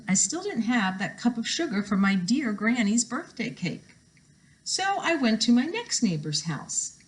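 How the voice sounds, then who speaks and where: A woman reading a storybook aloud to children, expressive and emphatic, drawing out words and adding asides to her listeners. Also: fairly high pitch, rough recording.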